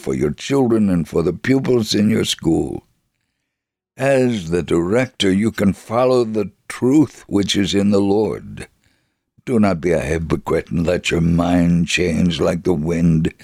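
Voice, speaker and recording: A man reading a first-person testimony as scripted narration, measured and gravelly, acting out the dialogue as he goes. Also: deep voice, a little mellow, dry recording, clean studio sound. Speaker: story reader.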